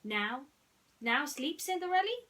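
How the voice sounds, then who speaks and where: Woman voicing Gus, a halting, eager little mouse. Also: high voice, sing-song, sharp tone, voice pushed up, exaggerated voice. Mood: puzzled, eager. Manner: stammering, halting simple speech. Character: Gus, small eager confused young male cartoon mouse.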